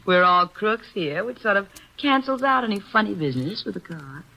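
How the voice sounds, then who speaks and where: A woman's voice: medium pitch, sing-song, normal speed, gravelly, pushed and bright, full character voice, low-quality recording. An actress playing a flirty, flippant woman gambler in a 1950s radio drama. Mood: teasing.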